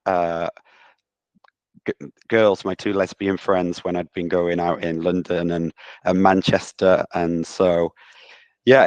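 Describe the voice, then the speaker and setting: A man with a very low voice hosts a podcast interview. He talks loosely, with plenty of "like" and "um," and keeps up a steady stream of supportive "yeah"s. The sound is dry and close, with no room around it. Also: dry recording. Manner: loose, filler-heavy, encouraging backchannels. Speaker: podcast host. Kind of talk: casual interview chat.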